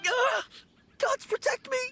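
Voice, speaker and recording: A woman's voice: extremely high pitch, slow, gravelly, heavy background noise, heavily acted. A woman voicing a timid, panicky young male apprentice undertaker in a video game, stammering and pleading. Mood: panicked, pleading. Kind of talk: video game character acting.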